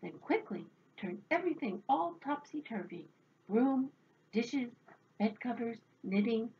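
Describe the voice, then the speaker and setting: A woman voicing a calm, knowing village wise woman who gives measured instructions. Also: a little high, slightly rough, muffled recording, heavily acted. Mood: advising.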